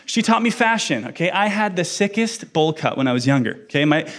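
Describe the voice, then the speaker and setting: A man's voice: on the high side, very animated, brisk, fairly bright tone. Joking man in his thirties preaching. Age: 30s. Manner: joking, self-deprecating, then earnest. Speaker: pastor. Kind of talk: sermon with personal storytelling.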